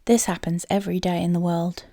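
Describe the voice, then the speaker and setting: A woman storyteller reading a fairy tale aloud in an expressive style. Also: fairly low pitch, a little fast.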